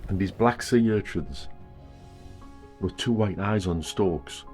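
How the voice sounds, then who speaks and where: A chatty older man narrates his travel vlog from outdoors on a long pier, throwing in wry little asides. His voice sits very low. A clear music bed runs underneath him. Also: takes it slowly, darkish tone. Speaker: travel vlogger.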